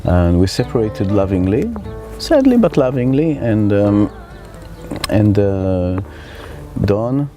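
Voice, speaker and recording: A middle-aged man from Israel with an Israeli accent, telling his life story in a reflective, halting way as he searches for words. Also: on the low side, big pitch swings, dark tone, soft background music. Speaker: spiritual teacher. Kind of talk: personal life story told to camera.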